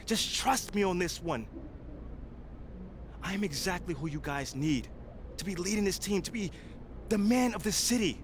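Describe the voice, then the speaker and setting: A man's voice: sits high, lively intonation, moderate pace, pauses often, a little hiss or hum. A man playing a driven, proud young American pro basketball player in a game cutscene, speaking earnestly and colloquially with emphasis. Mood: determined, pleading. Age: young adult. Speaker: video game actor playing the lead character. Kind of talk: naturalistic cutscene acting, impassioned speech.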